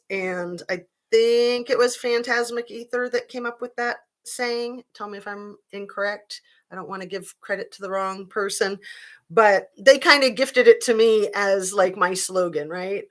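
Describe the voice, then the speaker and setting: A woman in her fifties with a slightly raspy voice, hosting a casual live-chat commentary stream and greeting viewers between her points, with many fillers. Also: mid-range voice, sharp tone. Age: 50s. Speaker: livestream host.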